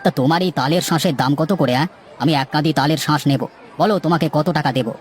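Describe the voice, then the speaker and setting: Cartoon dad male actor: doting, bossy village gentleman, quick delivery, slightly high voice. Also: a little hiss or hum, natural voice. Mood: curious, eager. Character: middle-class village gentleman and doting father, chatty, bossy. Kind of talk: acted dialogue in a moral-story cartoon.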